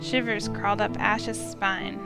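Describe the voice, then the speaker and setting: A woman's voice: mid-range voice, steady tone, measured pace. A woman streamer reading a fantasy visual novel aloud in a storytelling narration style. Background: music.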